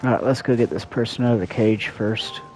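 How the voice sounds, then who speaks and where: A man's voice: lowish voice, fairly quick, poor audio. A man doing casual, folksy game commentary, muttering to himself as he plays. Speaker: game streamer. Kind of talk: casual livestream game commentary, reading dialogue aloud. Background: faint game music.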